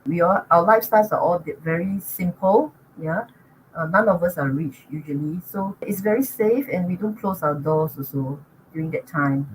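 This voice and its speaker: Older singaporean lady chatting away, bit low voice, faint hiss behind. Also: moderate pace, low-quality recording. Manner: chatty, laughs often, many restarts. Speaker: guest. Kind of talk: casual interview talk.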